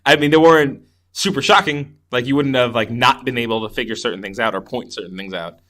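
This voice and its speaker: A man's voice: mid-range voice, fast talker, a bit bright, slight background noise. A man co-hosting a podcast, chatting casually and enthusiastically about movies, often restarting his sentences as he rambles. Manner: enthusiastic, rambling with repeated false starts. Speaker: podcast co-host. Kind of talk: casual movie review chat.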